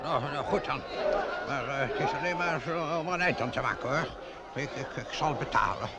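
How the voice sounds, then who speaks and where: An actor playing a pompous, stubborn village mayor with a hesitant manner in a radio play. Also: male voice, medium pitch, a little fast, gravelly, a loud crowd, heavy background noise, lightly acted. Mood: grudging.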